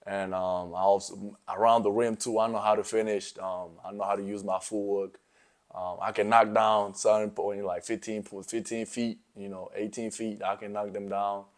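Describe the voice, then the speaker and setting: Young man, from South Sudan, low voice, flat delivery, relaxed, lots of fillers, college athlete interviewee. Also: moderate pace. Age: young adult. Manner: laid-back, many fillers like you know and um. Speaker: college basketball player guest. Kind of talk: casual interview answers.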